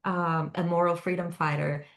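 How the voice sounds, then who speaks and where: A woman's voice: lowish voice, little pitch movement, normal speed, a bit bright. A woman from Germany speaking off the cuff as a panelist in an online discussion, discursive, often checking in with "right?" tags. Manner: discursive, frequent "you know" and "right?" tags. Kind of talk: impromptu webinar discussion. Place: indoor room, online video call. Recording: echoey room over online call.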